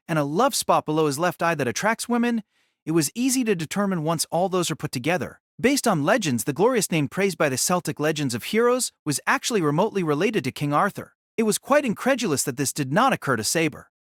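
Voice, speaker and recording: A man tells a fantasy story in a steady, even narration. His voice is a touch high and the sound is dry and close, with no room around it. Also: fairly quick, dry recording. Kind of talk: scripted audiobook narration.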